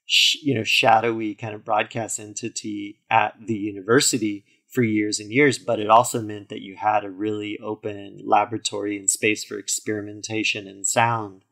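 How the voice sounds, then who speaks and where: A man's voice: fairly low pitch, level tone, normal speed, gravelly, hardly any pauses, a bit bright. A man in his forties, a podcast guest, telling his story fluently with frequent "you know" fillers. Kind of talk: interview conversation.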